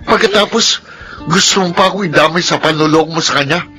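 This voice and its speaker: A man's voice: a little high, fast talker, pushed and bright, soft background music. An actor playing an indignant, loyal friend who angrily accuses a deceitful woman, in a radio drama. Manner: angry, accusing.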